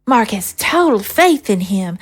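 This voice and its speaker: A woman's voice: mid-range voice, big pitch swings, a little slow. An actress playing an anxious, polite first lady in an audio drama, earnest and hesitant in her delivery. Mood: uneasy.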